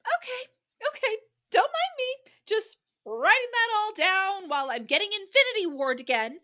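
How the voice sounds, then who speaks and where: A woman hosting a casual book chat podcast, expressive and exasperated, swearing freely. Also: very high-pitched, normal speed. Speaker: podcast host. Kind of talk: casual chat about a novel.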